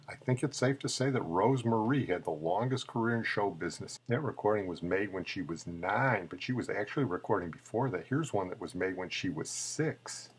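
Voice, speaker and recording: Low, husky man hosting. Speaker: host. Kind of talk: conversational tribute narration.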